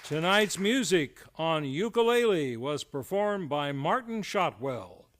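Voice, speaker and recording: A man's voice: on the high side, very animated, slow pace, crowd noise, noisy background. A man working as a radio show announcer, reading scripted credits in a formal, polished old-time radio style. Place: live on a theater stage.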